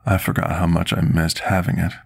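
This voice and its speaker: A man playing a gentle, timid potion master in a soft-spoken ASMR roleplay, husky and gravelly, formal and deferential. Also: low voice, little pitch movement, a little fast, exaggerated voice. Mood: humble, hesitant. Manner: formal, polite, submissive, archaic wording.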